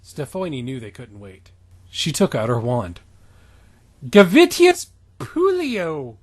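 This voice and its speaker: Husky, animated man reading theatrically. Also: medium pitch, moderate pace. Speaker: podcast host. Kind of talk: mock-dramatic reading of fan fiction.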